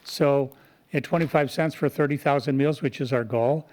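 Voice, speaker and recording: A man's voice: medium pitch, normal speed, darkish tone. A man speaking as a community volunteer, giving an earnest, explanatory presentation at a public meeting. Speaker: community volunteer presenter, Rotary club member. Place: town board meeting room.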